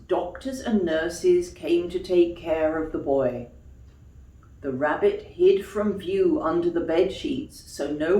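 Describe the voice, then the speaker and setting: A woman's voice: lowish voice, a little mellow. A woman reading a children's story expressively, as a storyteller addressing young listeners. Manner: explains words to young listeners in asides. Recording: echoey room, distant mic.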